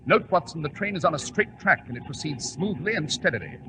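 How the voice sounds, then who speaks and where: An actor playing a commanding, self-assured English gentleman detective in a 1940s radio drama, with a crisp British delivery. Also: on the high side, animated, a little fast, natural voice. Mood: excited, triumphant. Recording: dull, faintly noisy 1940s radio recording.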